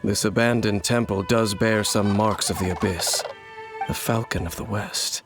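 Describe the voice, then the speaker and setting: A man playing a brooding, mysterious wanderer in a video game, speaking in a grave, measured, formal way. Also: fairly low pitch, steady tone. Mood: solemn, watchful. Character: brooding, mysterious male wanderer opposed to dark forces. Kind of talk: dramatic video game dialogue. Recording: game music behind.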